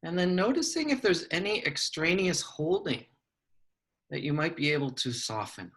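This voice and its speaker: A man leading a guided meditation, giving gentle, measured instructions with long pauses between phrases. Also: on the high side, lively intonation.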